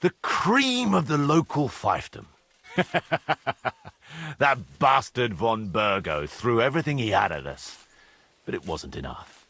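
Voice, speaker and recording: A man playing a blunt, mocking, foul-mouthed medieval mercenary commander in a video game, with a husky, forceful voice over music. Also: medium pitch, sing-song, slow pace, full character voice. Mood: gloating. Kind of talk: video game cutscene acting.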